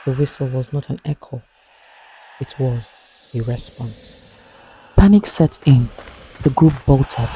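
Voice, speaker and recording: A man narrating a scripted horror folktale as a storyteller, in a grave, suspenseful manner with a husky voice. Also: medium pitch, warm tone, slight background noise, poor audio. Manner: slow, grave, suspenseful delivery.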